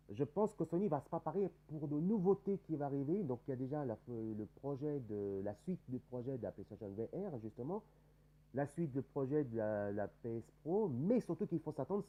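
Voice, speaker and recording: A man's voice: medium pitch, dull audio. A man with a head cold, hosting a casual gaming video, talking over news with many fillers and restarts. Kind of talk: casual spoken game news commentary.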